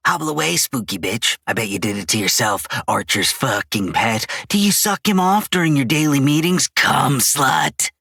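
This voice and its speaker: A woman voicing a cruel, taunting male asylum inmate with a low, gravelly, husky voice. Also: expressive, normal speed, hardly any pauses, fairly bright tone, no echo, studio quality, full character voice. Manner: taunting, mocking.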